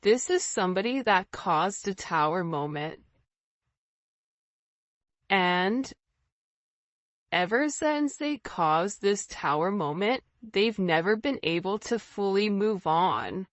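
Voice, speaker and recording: A woman's voice: medium pitch, lively intonation, takes it slowly, pauses often, no room sound, dull audio. A woman giving an informal tarot reading straight to her viewers, speaking emphatically and conversationally with rhetorical questions. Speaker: tarot reader, channel host.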